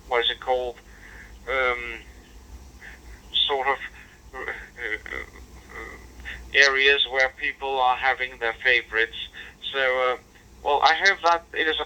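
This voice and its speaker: A man's voice: mid-range voice, sharp tone, clear, resonant tone, poor audio. A man with a Danish accent chatting over amateur radio, polite and hesitant, full of fillers and restarts. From Denmark. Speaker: ham radio operator. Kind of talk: two-way radio chat.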